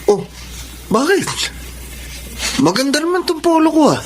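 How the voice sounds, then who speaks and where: An actor playing an apologetic, evasive young two-timing boyfriend in a Filipino radio drama. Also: male voice, very high voice, sing-song, a little slow, a little hiss or hum, full character voice. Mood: easygoing. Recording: radio drama with music underneath.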